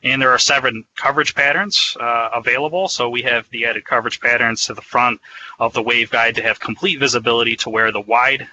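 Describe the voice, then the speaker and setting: Guy walking through products on a webinar, really bright forceful voice, some noise behind him. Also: mid-range voice, steady tone, a little fast, highs cut off. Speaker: product presenter. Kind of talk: webinar product presentation, semi-scripted.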